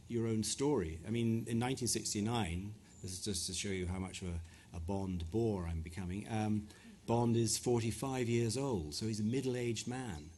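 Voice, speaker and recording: A middle-aged man from West Africa answers an audience's questions at a live literary event. His voice sits a little low, and he talks in a thoughtful, halting way, often circling back to restart a sentence. A faint background hiss runs under him. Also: darkish tone. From West Africa (Ghana, Nigeria). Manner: hesitant, thoughtful, many restarts. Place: event venue before an audience.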